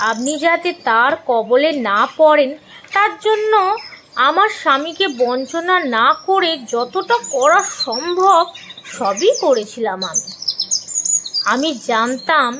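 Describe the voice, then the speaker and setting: Woman acting a high-voiced, sorrowful young widow. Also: noisy background. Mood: sorrowful reproach. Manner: slow, deliberate, emotive delivery with pauses. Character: sorrowful young widow, gentle yet reproachful, confessing long suffering. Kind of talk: audio story drama acting.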